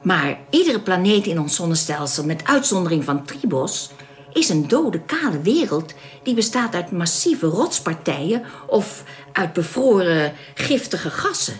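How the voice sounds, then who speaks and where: A woman acting in a radio drama as a calm, welcoming young alien who explains things politely and with composure. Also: lowish voice, measured pace, faint music behind. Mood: earnest, explanatory.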